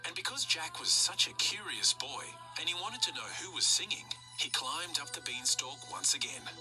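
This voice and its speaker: A woman storyteller reading a children's story aloud with expressive narration. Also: sits low, moderate pace, over music, poor audio.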